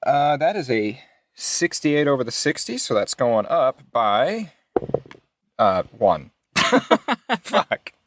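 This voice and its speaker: A man's voice: mid-range voice, sing-song, takes it slowly, long pauses, a bit bright. A man chatting casually as a player in a tabletop role-playing game, reading out his results with some swearing. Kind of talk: casual chat while rolling dice.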